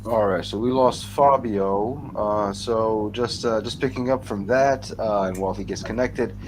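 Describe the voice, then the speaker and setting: A man speaking as a writer on a casual panel over a video call, haltingly, repeating words as he thinks aloud. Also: lowish voice, a little mellow. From United States (born in New York). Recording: clearly noisy video-call audio.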